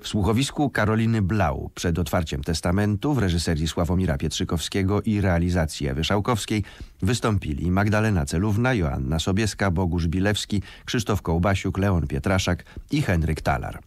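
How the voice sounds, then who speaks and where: A man's voice: low-pitched, expressive, moderate pace, dry recording. A man working as a radio announcer, formally reading out the credits of a play.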